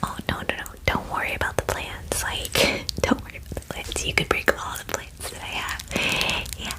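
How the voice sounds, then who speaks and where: A woman's voice: normal speed, natural voice, low-quality recording. Woman as a whispering, friendly dragon girl. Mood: reassuring, chatty. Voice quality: soft, breathy whisper. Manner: chatty, gushing, hesitant, reassuring. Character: friendly, eager female dragon in cute human form. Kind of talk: whispered ASMR fantasy roleplay.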